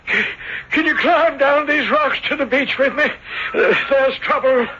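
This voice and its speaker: A man playing an agitated, fretful villager with a halting, anxious delivery in a radio drama. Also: extremely high pitch, sharp tone, full character voice. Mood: panicked. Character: agitated, fretful high-voiced villager seeking help. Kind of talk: 1970s radio drama acting. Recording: dull, noisy vintage radio broadcast.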